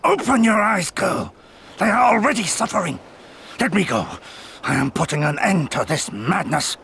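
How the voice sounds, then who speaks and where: Gravelly, forceful rebel warrior. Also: male voice, high voice, expressive, normal speed, a little hiss or hum, heavily acted. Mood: resolute, urgent. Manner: grave, formal, declamatory. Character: stern, resolute, fatalistic male rebel warrior leader. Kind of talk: video game voice acting.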